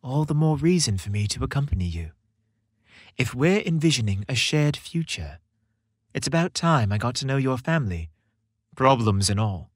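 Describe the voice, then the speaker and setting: Man as devoted young boyfriend, mid-pitched husky voice, earnest, determined, romantic audio story. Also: very animated, moderate pace, no echo, studio quality. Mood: concerned, pleading.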